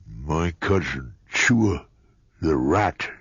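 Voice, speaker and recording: Cowering muskrat, put on by a man, raspy and pleading, with a dry close sound. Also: very deep voice, lively intonation, drawn-out words, a bit bright, no echo, highs cut off, full character voice. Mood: fretful. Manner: fretful, pleading. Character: timid, fearful male muskrat.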